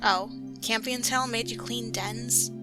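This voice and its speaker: Chatty, higher-voiced young she-cat played by a woman. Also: steady tone, takes it slowly. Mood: teasing. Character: dreamy, chatty young female apprentice cat. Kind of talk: amateur audio story acting. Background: background music.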